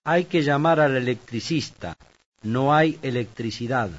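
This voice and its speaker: A man reading scripted sentences for a language course, slow and clear. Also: mid-range voice. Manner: slow, clear read-aloud delivery. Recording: dull lo-fi tape audio.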